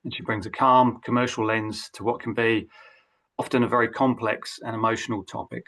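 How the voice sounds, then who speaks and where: Low-voiced man hosting a webinar. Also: steady tone, a little fast, fairly bright tone. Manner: hesitant, many ums and restarts. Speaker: webinar host.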